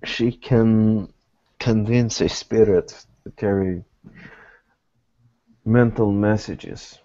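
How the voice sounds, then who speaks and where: A man acting as game master in a tabletop roleplaying game, speaking in deliberate, measured phrases. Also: fairly low pitch, fairly even intonation, mellow, no echo. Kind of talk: tabletop roleplaying game, narration and in-character dialogue. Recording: dull lo-fi sound.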